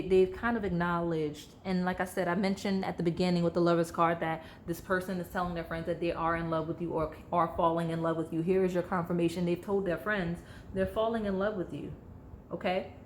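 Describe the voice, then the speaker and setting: A woman with a somewhat low, slightly hoarse voice talks through a tarot card reading. She rambles casually, fills her sentences with "like" and speaks straight to her viewers. A faint hum of noise sits behind her. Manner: chatty, rambling, heavy use of "like", addresses viewers directly. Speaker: tarot reader.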